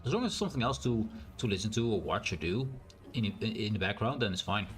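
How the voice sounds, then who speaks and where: A man with a naturally loud voice and a strained, sore throat, thinking aloud in a rambling way as he streams a game. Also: lowish voice, sing-song, quick pace, faint music behind. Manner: rambling, thinks aloud with fillers and self-corrections. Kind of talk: casual live-stream gameplay commentary.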